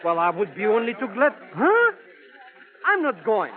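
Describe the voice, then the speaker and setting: An actor playing a flustered, stammering, broke and cowardly comic sidekick in an old-time radio show. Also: male voice, high voice, huge pitch swings, normal speed, phone-quality sound. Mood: panicky. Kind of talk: old-time radio comedy-drama acting. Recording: thin, band-limited old radio recording.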